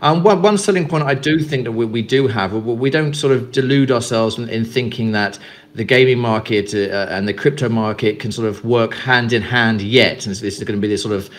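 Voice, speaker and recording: A man's voice: medium pitch, brisk. English man, company guest, loose rambling interview answers full of fillers. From England. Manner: rambling, many fillers and repeated words. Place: Greece.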